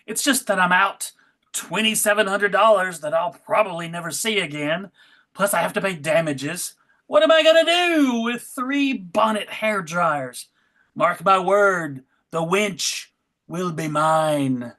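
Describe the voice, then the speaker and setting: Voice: medium pitch, lively intonation, measured pace, fairly bright tone. An actor playing a pompous, slippery devil in a live comedy table read, given to indignation and bellowing. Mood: sulky.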